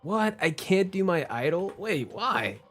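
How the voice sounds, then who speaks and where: A man's voice: high-pitched, big pitch swings, measured pace, a bit bright. A young man doing casual, slangy live game commentary in a playful, joking manner. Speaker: game streamer. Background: game background music.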